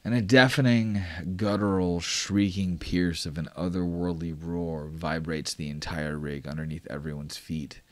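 A man with a very low voice narrates a tabletop game as its game master. He paints vivid scenes and leans on casual fillers as he goes. The recording is dry and close. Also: flat delivery, measured pace, hardly any pauses, no echo.

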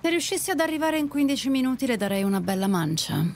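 A woman's voice: sits high, very animated, fast, creaky, a little mellow, a little hiss or hum, heavily acted. Woman acting a brash, sardonic nightclub dancer. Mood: hurried, curt. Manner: curt, sardonic, blunt. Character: bitter, brash, cynical female nightclub dancer. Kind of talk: Italian audio drama acting.

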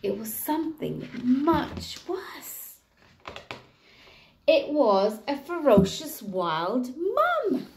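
Young woman storyteller, teacher style: expressive narration, animated pitch, room echo. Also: very slow, slight background noise. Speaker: teacher reading to pupils. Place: small room indoors, at a table. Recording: roomy home recording.

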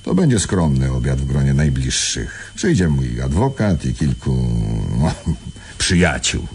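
An actor playing a scheming imprisoned crime boss in a radio drama, mock-polite and domineering. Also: male voice, extremely low pitch, very animated, a little slow, darkish tone, slight background noise, full character voice. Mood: falsely courteous. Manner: mock-polite, turning bossy and impatient.

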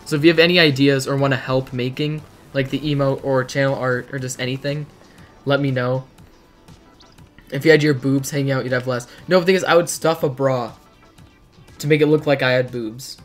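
A teenage boy, hoarse from hours of streaming, chatting casually and rambling while reacting to his chat in a dim bedroom. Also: medium pitch, steady tone, fairly quick, soft background music.

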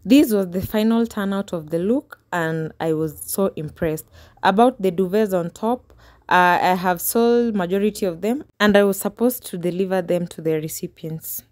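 A woman's voice: on the low side, moderate pace, no room sound, soft background music. A woman, casually narrating her home cleaning in a chatty voice-over. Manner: relaxed, chatty, small restarts. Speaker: homemaking vlogger.

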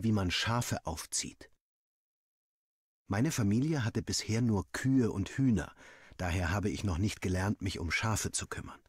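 Wry, deep-voiced young villager diarist, played by a man. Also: slightly rough, dry recording, clean studio sound. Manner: wry, dramatic, self-mocking storytelling. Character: young male student villager narrating his school diary. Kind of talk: scripted diary narration over game footage.

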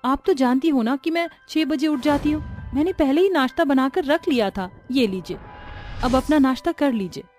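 A woman's voice: sits high, normal speed, a little mellow, faint music behind, close to an everyday voice. A woman playing a patient, dutiful young daughter-in-law, polite and placating, in a cartoon story.

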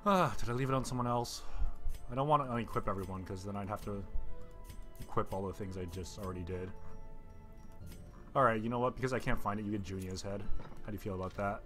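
Man, let's play commentary, chatty, self-mocking, low voice, game music behind. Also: fast talker, pauses often, a bit bright. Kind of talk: casual gameplay commentary.

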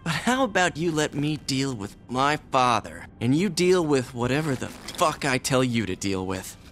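Arrogant young gang boss, animated. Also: male voice, mid-range voice, moderate pace, soft background music. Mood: condescending. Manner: condescending, commanding, curt. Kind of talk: video game cutscene acting.

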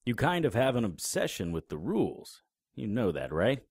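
An actor playing a wisecracking, unflappable paranormal investigator in an audio drama, with a dry, sarcastic delivery. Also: male voice, on the low side, very animated, normal speed, a little mellow, husky, no echo, full character voice. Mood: dry sarcasm. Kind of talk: audio drama dialogue and first-person noir narration.

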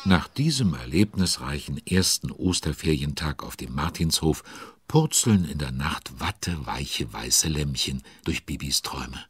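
A man narrating a children's audio drama as a chatty storyteller, playful and addressing listeners directly. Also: exaggerated voice. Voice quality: very deep, husky.

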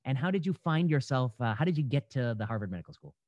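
A man's voice: mid-range voice, big pitch swings, fast, darkish tone, dry recording. A young man hosting a podcast interview over a video call from home, talking in a thoughtful way with many fillers and restarts. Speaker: podcast host. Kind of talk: conversational interview. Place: home room. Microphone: headset mic on a video call. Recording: dull, dry video call audio.

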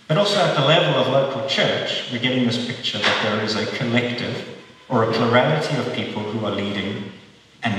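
A man preaching an expository sermon to a congregation, measured and steady, pausing often and restarting his phrases. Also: mid-range voice, a little hiss or hum. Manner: measured, with frequent pauses and restarts. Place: church hall. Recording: echoey church hall, mic far off.

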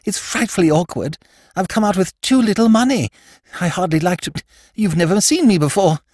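A man reading aloud, voicing a weedy, prattling young English lord in a high, gushing voice. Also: lively intonation, moderate pace, darkish tone, no echo, clean studio sound. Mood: flustered gushing. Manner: high-pitched, breathless, gushing.

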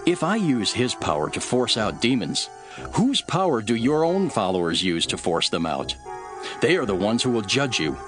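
An actor playing a calm, authoritative male teacher telling parables in an earnest, deliberate scripture drama. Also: mid-range voice, close to an everyday voice. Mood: firm, authoritative. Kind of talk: dramatised scripture reading, measured storytelling.

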